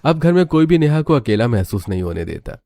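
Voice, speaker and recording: A man's voice: medium pitch, big pitch swings, fairly quick, vocal fry, mellow, no echo, studio quality. A man narrating a scripted family cartoon story.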